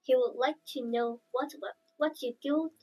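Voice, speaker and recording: High-pitched student interviewer. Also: female voice, fairly even intonation, moderate pace, a little mellow, husky, low-quality recording. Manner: polite, scripted question reading. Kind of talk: reading interview questions.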